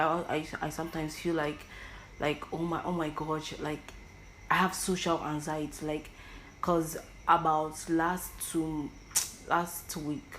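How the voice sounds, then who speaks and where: A young woman with an Igbo accent talks alone into the mic in a personal monologue. Her voice sits very low for a woman, and she often stumbles and repeats words as she thinks aloud. A faint hiss of background noise runs under the recording. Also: rough recording. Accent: Nigerian Igbo. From Igbo land, Nigeria. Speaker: podcast host.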